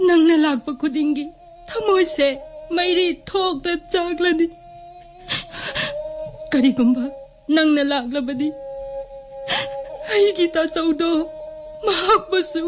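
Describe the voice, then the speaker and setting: A woman's voice: very high voice, racing, long pauses. A woman acting in a radio drama as a bereaved younger sister, plaintive and mournful. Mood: tearful longing. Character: sorrowful bereaved younger sister mourning her elder brother. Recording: faint music behind the voice.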